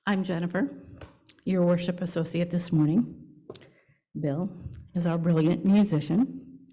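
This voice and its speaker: A woman leading a church service, reading a welcome and announcements to the congregation in measured, deliberate phrases with pauses. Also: fairly low pitch, fairly even intonation, mellow. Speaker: worship leader at a church service.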